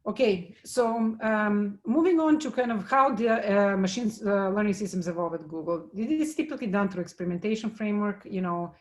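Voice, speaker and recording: A woman from Serbia giving a technical conference talk and answering questions, halting often with fillers and restarts. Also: medium pitch, a little mellow. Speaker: conference speaker.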